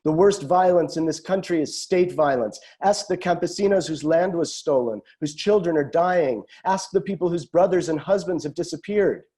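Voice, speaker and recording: A man voicing a defiant young American woman activist in a dramatic book reading, loud, declamatory and impassioned. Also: on the high side, normal speed, few pauses, voice pushed up, lightly acted. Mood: defiant, accusing. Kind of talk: dramatic audiobook-style reading.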